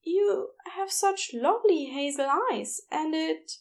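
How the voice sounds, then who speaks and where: A shy, kindly man, voiced by a woman in an audiobook, pitched well up high. He speaks gently and politely, with a flustered, apologetic hesitance. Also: animated, slow, exaggerated voice. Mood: admiring, apologetic. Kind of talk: audiobook character dialogue.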